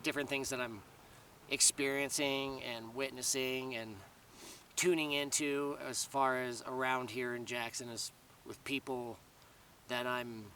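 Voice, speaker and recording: A man rambling casually to camera in a vlog, full of fillers and restarts, his voice slightly raspy. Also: medium pitch, flat delivery, measured pace, sharp tone, recorded from a distance, slight background noise. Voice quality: slightly raspy after long talking. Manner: meandering, many fillers and restarts. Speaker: vlogger.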